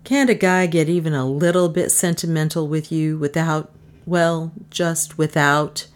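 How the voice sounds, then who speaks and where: A woman's voice: sits low, measured pace. Wry, stubborn man for audiobook dialogue, read by a woman: dry, grumbling, argumentative, a little sentimental. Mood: exasperated.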